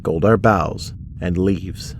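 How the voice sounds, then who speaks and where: A man's voice: sits low, takes it slowly, background noise. A man narrating a scripted audio drama, setting each scene in the present tense.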